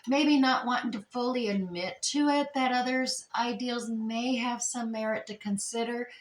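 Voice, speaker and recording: A woman's voice: on the high side, fairly even intonation, moderate pace. A woman doing a chatty, meandering tarot reading while losing her voice, her throat strained. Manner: chatty, meandering, with many fillers and restarts. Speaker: tarot reader. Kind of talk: casual tarot reading to camera. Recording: echoey room with faint noise.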